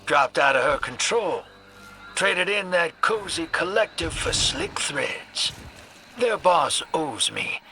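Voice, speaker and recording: Creaky-voiced cynical gambler rogue. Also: male voice, on the high side, big pitch swings, slow pace, bright, forceful tone, soft background music, exaggerated voice. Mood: wry, defiant. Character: gruff, wry gambler rogue, bold and cynical. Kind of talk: video game cutscene acting.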